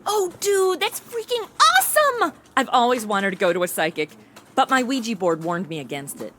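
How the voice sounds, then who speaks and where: A woman's voice: a little high, sing-song, normal speed, background noise. A young woman playing a chatty, ditzy modern influencer who hosts and banters in a comedy audio drama. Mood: excited, chatty. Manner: breezy, casual valley-girl slang. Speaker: host.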